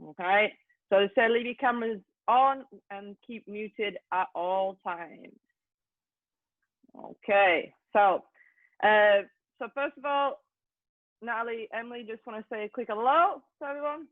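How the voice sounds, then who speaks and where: A woman's voice: a little high, unhurried, dry recording. English lady coach hosting a zoom q&a, chatty, tinny dry phone-ish sound. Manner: chatty, fills with "okay". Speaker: youth soccer coach, host. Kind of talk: hosting a video call Q&A. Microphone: video call. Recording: tinny, dry video call audio.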